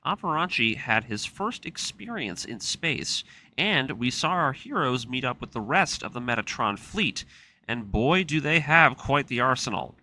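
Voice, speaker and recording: A man's voice: mid-range voice, animated, unhurried, pushed and bright, slight background noise, rough recording. A man reading a scripted story recap as the narrator of a video essay. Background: music.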